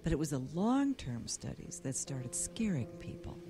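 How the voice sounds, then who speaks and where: A woman's voice: deep voice, sing-song, moderate pace. An actress playing an earnest woman scientist in an audio horror drama, explaining things in a matter-of-fact way. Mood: earnest, grave. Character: earnest woman scientist alarmed by a polluted lake's monster.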